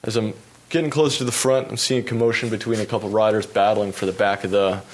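A young man from the United States recounts his cycling races in an easygoing talk, his voice sitting a little low. He fills the pauses with ums and uhs and chuckles at his own misfortunes. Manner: relaxed, lots of fillers, laughs at himself. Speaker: pro cyclist giving a talk. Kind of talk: storytelling presentation with slides.

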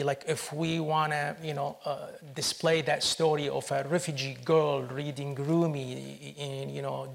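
Gravelly man, halting and earnest. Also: fairly high pitch. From Syria. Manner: halting, many restarts and fillers, earnest. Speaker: film producer. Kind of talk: panel interview answer.

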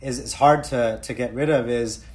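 An American man telling a personal story on a panel in a halting, reflective way. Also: mid-range voice, fairly even intonation, darkish tone. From United States.

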